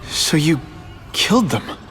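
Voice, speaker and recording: An actor playing an earnest, inquisitive young news photographer in a video game, with a casual, slightly hesitant way of talking. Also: male voice, a little high, sing-song, measured pace, pushed and bright, soft background music, exaggerated voice. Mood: uneasy. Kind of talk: video game cutscene acting.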